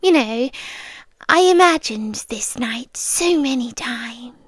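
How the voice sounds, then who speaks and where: Woman acting a high-voiced, possessive British vampire girlfriend. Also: lively intonation, no room sound, exaggerated voice. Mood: wistful, menacing. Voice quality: soft, breathy, often slipping into whispers. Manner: slow, coaxing, lingering delivery. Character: possessive, lovesick immortal vampire girlfriend, tender yet menacing. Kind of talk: ASMR girlfriend roleplay, close and intimate.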